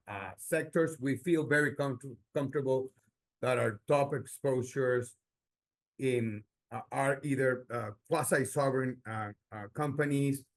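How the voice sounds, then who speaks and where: Guy exec doing unscripted earnings call answers, kinda halting, repeats words, average pitch. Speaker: company executive answering analyst questions.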